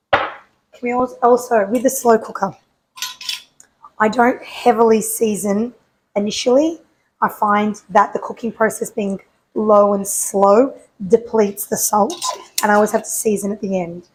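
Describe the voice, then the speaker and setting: A woman with a croaky voice hosting a home cooking show, chatting casually and answering her own questions as she cooks. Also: medium pitch, a little hiss or hum, poor audio. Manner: chatty, asks herself questions and answers them.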